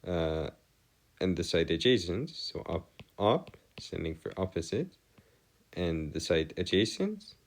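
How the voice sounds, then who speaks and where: Male tutor, low voice, swooping intonation, formal wording, hesitant, step-by-step explaining. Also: big pitch swings, slow pace. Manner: formal, wordy phrasing, hesitant, self-correcting. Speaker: math tutor. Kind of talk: informal lecture, explaining step by step.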